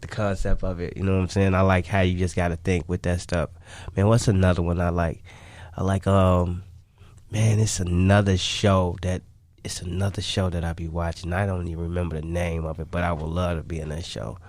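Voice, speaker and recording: A man's voice: sits low, little pitch movement, a little fast, darkish tone, no echo. A man talking casually as an interview guest, repeating himself and often saying "you know what I'm saying.". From Chicago, United States. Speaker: interview guest, music artist.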